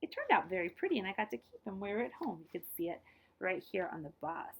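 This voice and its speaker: A woman reading a children's picture book aloud in an expressive, dramatized way, dropping to hushed phrase endings and adding short asides. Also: medium pitch, fast, breathy edge.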